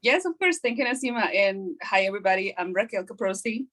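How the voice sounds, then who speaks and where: A woman's voice: mid-range voice, lively intonation, fast, fairly bright tone. Woman teaching a craft. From Guatemala. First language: Kaqchikel. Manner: hesitant, explanatory, frequent fillers. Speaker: craft instructor. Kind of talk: hands-on teaching. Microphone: video call.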